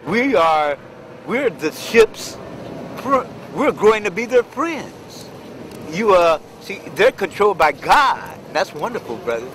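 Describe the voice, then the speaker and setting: A man on the street, talking in a rambling, preachy, drawn-out way. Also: sits high, big pitch swings, a bit bright, slight background noise. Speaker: homeless man interviewed on the street.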